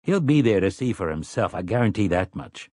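A man's voice: fairly low pitch, expressive, a little fast, darkish tone, no echo, clean studio sound. A man voicing a wily, cheerful grown man who talks casually and conspiratorially in an audiobook. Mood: friendly.

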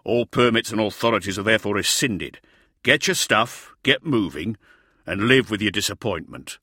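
A gruff imperial soldier , acted out by a male audiobook reader, full of contempt. He is curt and commanding, with a sharp, forceful edge and swinging emphasis, recorded very dry. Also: on the low side, animated, normal speed, dry recording, full character voice. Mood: curt, hostile. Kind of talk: dramatised audiobook character voice.

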